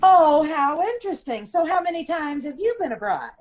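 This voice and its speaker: A woman's voice: high-pitched, very animated, normal speed. An American woman playing a plucky, teasing detective's wife in an old-time radio comedy, arch and playful.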